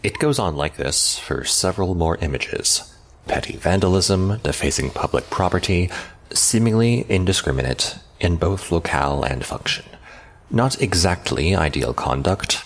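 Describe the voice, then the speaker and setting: An actor playing a cold, controlling man who speaks formally and deliberately, with menacing politeness, in a fan-dub audio drama. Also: very deep voice, husky, heavily acted. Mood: detached, formal. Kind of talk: scripted dramatic dialogue and narration.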